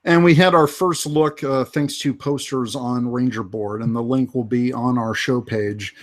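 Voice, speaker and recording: A man co-hosting a live fan podcast, casually going through toy and movie news with many pauses and fillers. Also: medium pitch, steady tone. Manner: unhurried, lots of uh fillers. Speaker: podcast co-host.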